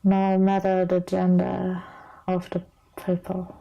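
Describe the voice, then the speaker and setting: A woman's voice: fairly low pitch, completely flat delivery, slow, vocal fry, muffled recording. A woman in her twenties from Turkey, an exchange student, answering interview questions plainly. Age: 20s.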